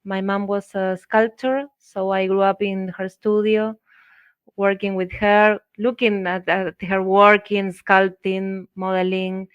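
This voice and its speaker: A middle-aged woman from Argentina with an Argentine accent, a native Spanish speaker, telling her story as an interview guest, halting as she searches for words. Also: medium pitch, flat delivery, clear, resonant tone, no echo, muffled recording. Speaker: interview guest, visual artist. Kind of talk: conversational personal storytelling.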